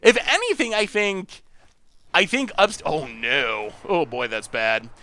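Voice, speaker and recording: A man from upstate New York, hosting a live game stream and chatting with viewers in an excitable, laughing way. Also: on the high side, measured pace. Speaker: streamer host.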